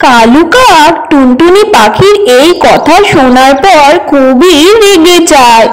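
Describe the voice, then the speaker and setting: A woman's voice: high voice, lively intonation, slow pace, low-quality recording. A woman storyteller reading a scripted children's tale as narrator.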